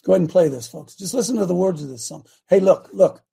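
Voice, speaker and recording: A man in his sixties preaching a sermon, fervent and repetitive, building to shouts. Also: high voice, animated, quick pace, creaky, slightly rough. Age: 60s.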